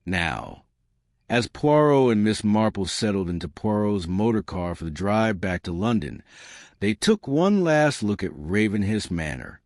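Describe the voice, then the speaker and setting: Lowish, expressive male audiobook narrator. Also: a little slow, no echo, clean studio sound. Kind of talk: scripted story narration.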